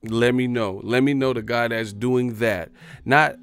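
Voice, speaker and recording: A man's voice: medium pitch, fairly even intonation, unhurried, a bit bright, clear, resonant tone. A man ranting on a livestream in a boastful, profane, streetwise way. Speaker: boxing YouTuber. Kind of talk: livestream rant.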